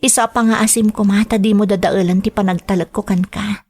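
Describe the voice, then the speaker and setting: A devoted, trusting sweetheart played by an actress in an Ilocano radio drama. She speaks at a medium pitch with an Ilocano Filipino accent, in an earnest romantic style. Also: fairly even intonation, brisk, a little mellow, close to an everyday voice. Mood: tender, pleading. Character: Josie, trusting woman devoted to her first love.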